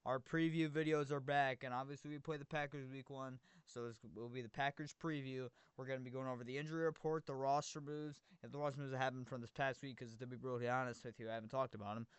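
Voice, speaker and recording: A young man, slightly hoarse from being sick, giving an energetic, rambling fan preview of his team's game. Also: mid-range voice, fast talker, hardly any pauses, dry recording. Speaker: team channel host. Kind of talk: casual sports preview talk.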